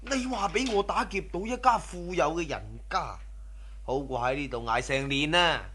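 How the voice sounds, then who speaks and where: An actor playing a greedy, ruthless, boastful robber in a radio drama. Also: male voice, fairly high pitch, normal speed, pushed and bright, a little hiss or hum. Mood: restless, ambitious. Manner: boastful, sneering, swaggering. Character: cocky, greedy, ruthless male robber scoffing at superstition. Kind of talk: Cantonese radio drama acting.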